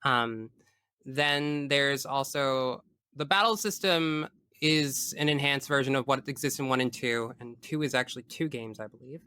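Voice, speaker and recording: A man's voice: mid-range voice, unhurried, pushed and bright, smooth, no echo. A man speaking as a podcast guest, chatting casually about video games in a frank, geeky way.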